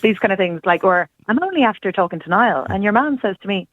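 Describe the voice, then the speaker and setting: An Irish woman speaks with earnest enthusiasm, her thoughts flowing in long, unbroken runs. She comes through a narrow, tinny phone line with a dry, close sound. Her pitch sits in a middling range. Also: lively intonation, fast, dry recording. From Ireland. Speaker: guest, Irish language teacher. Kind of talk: casual radio interview.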